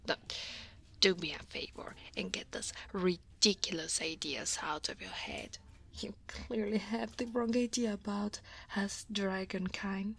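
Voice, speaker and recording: Woman acting as female dragon coworker, weary, easily flustered, soft breathy half-whisper, unhurried slow delivery, ASMR roleplay. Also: mid-range voice. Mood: weary, grumbling. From Italy.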